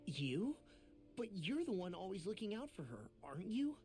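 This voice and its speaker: A man's voice: high voice, very animated, moderate pace, dark tone. An actor playing an earnest, self-doubting young male fighter in a video game, speaking in a casual, sincere way. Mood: troubled, candid. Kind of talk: video game English voice acting. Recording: music behind the voice. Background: game music.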